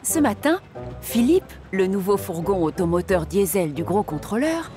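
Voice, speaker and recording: A woman's voice: medium pitch, very animated, moderate pace, music in the background. A woman narrating a French cartoon episode in a storytelling manner.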